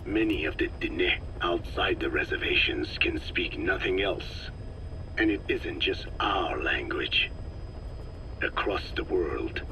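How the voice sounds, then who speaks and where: A man playing a very old, solemn Navajo scientist in a video game, speaking slowly and formally with a breathy, whispery voice full of regret. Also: rumbling low, noisy background, exaggerated voice. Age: older. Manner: slow, solemn, measured, formal. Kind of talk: dramatic game dialogue, reflective monologue.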